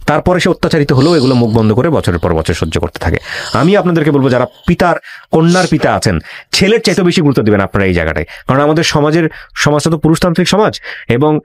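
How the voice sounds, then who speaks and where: Animated, quick-talking Bangladeshi man hosting. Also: a little high. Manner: emphatic, repeats phrases, mixes in English words. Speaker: talk show host. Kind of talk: earnest advisory monologue and interview.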